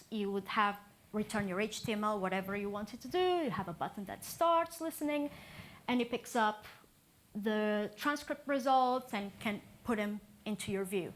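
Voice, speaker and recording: A woman's voice: mid-range voice, fairly bright tone, a little hiss or hum. A young woman from Portugal, giving a chatty, upbeat talk at a tech meetup, often laughing at herself. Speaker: conference speaker.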